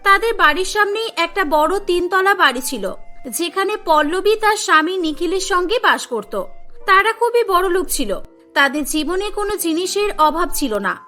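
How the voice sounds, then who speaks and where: A woman's voice: extremely high pitch, lively intonation, normal speed, bright, forceful tone. A woman reading scripted storybook narration for a cartoon, with music behind her.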